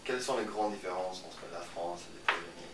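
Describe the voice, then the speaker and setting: A man's voice: low-pitched, a little fast. A young man who is a student interviewer, asking questions and affirming briefly. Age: young adult. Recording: echoey room, distant mic, faint noise.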